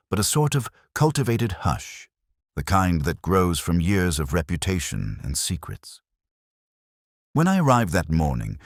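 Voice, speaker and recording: A man plays a weary older detective looking back on a case in a mystery audio drama. The voice is extremely deep, rising and falling expressively in measured, literary phrases. It sounds brooding and wry, recorded dry and close. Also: no room sound, heavily acted. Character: weary, melancholy older male English detective narrating his case. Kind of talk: literary first-person mystery narration.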